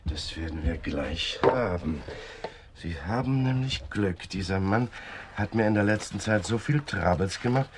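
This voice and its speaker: An actor playing a fussy, talkative department store manager in a radio drama. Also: male voice, on the low side, lively intonation, a little slow, heavy background noise. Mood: fussy, eager.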